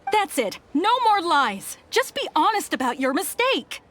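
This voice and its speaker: A woman's voice: extremely high pitch, very animated, measured pace, fairly bright tone, full character voice. A woman voicing a stern but caring, anxious mother in a video game. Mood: scolding. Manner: reproachful, exacting. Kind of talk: video game character dialogue.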